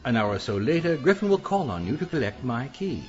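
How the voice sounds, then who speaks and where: An actor playing a genteel, scheming British publisher in a radio mystery, speaking in an urbane, theatrical, condescending drawl. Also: male voice, medium pitch, big pitch swings, fairly quick, darkish tone, close to an everyday voice. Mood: smug scheming. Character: genteel, scheming widowed male publisher, calmly plotting murder. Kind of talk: radio mystery drama acting. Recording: dull band-limited audio with music.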